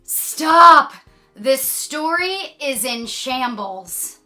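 A woman's voice: sits high, animated, extremely slow, pushed and bright, soft background music, full character voice. A woman voicing a dramatic, increasingly exasperated storybook narrator in a children's read-aloud. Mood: flustered. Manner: dramatic, emphatic, fed up. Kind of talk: animated children's picture-book read-aloud.

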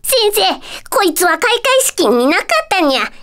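A woman voicing a small, cheeky, nosy cat mascot for a video game, with a childlike squeaky voice and a catlike tic at the ends of sentences. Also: very animated, normal speed, fairly bright tone, exaggerated voice. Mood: scolding, bossy. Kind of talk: Japanese video game character voice acting.

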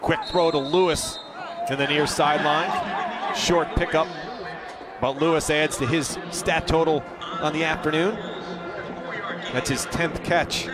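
A man calling a college football game play by play, brisk and rising with excitement on big plays. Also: fairly high pitch. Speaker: play-by-play announcer. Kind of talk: live football play-by-play commentary. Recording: broadcast with loud crowd and noise behind.

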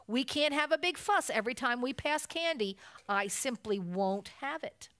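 A woman's voice: high voice, animated, normal speed, a bit bright, pitched above the performer's own voice. A woman voicing a firm, sensible mother bear from a children's storybook, reasoning and scolding.

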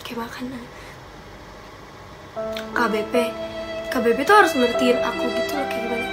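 A woman's voice: fairly high pitch, moderate pace, loud music behind the voice, low-quality recording. A young woman chatting casually through a Q&A vlog in her bedroom, a little stuffy-nosed from a cold. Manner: chatty, giggly, animated hand gestures. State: slightly stuffy nose from a cold. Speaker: vlogger. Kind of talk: casual Q&A chat. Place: small bedroom indoors.

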